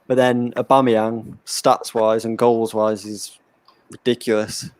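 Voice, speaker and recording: A man hosting a live watch-along, chatting casually, reading out viewer comments and giving shout-outs. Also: medium pitch, little pitch movement, takes it slowly. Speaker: host. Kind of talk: casual chat.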